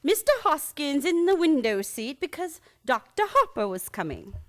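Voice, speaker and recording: A woman playing a genteel elderly aunt in a radio comedy, gently scolding and serenely matter-of-fact. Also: high-pitched, sing-song, moderate pace. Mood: prim, dismissive. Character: sweet, prim elderly aunt, cheerfully unbothered by murder. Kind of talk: stage comedy radio play acting.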